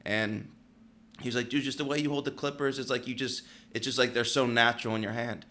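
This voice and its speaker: A man's voice: mid-range voice, steady tone, a little fast, pushed and bright. A man in his late twenties from Pennsylvania, a barber telling his story as a podcast guest, laid-back and full of "like" and "you know". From Pennsylvania, United States. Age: late 20s. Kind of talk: casual interview chat.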